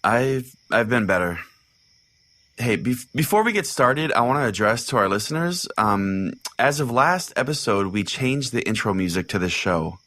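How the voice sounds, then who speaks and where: A man's voice: mid-range voice, big pitch swings, moderate pace, pushed and bright. A man playing an irritable, foul-mouthed pastor who hosts a comedic call-in radio show, sarcastic and theatrical in his delivery. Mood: exasperated. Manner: exasperated, sarcastic, theatrical storytelling.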